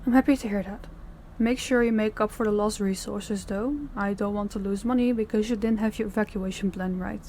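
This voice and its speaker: A woman's voice: mid-range voice, fairly even intonation, a little fast, few pauses, dark tone, slight background noise, lightly acted. A woman playing a stern crime boss in an audio roleplay, speaking in a commanding, businesslike way. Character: stern female crime boss running her department heads. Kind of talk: scripted audio roleplay acting.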